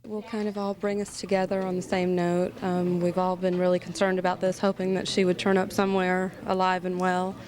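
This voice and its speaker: A woman's voice: lowish voice, flat delivery, brisk, hardly any pauses, a little mellow. A woman giving a subdued comment in a television news interview. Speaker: memorial attendee interviewed.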